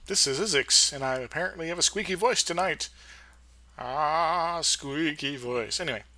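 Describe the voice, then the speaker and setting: Young male let's play host, casual and rambling, playful asides, bright tone. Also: on the high side, normal speed, no echo. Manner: rambling, playful, fumbles for words. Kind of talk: casual gameplay commentary.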